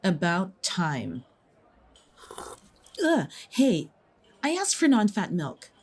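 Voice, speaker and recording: A woman's voice: lowish voice, huge pitch swings, extremely slow, a little mellow, a little hiss or hum, full character voice. A woman playing a haughty, bullying young leading actress in an audio drama, with an imperious, sneering delivery. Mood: contemptuous.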